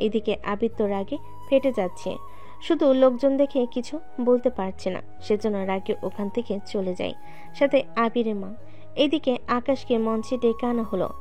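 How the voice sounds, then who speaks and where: A woman's voice: a little high, normal speed, warm tone, soft background music. A woman narrating a drama story in a plain, matter-of-fact way.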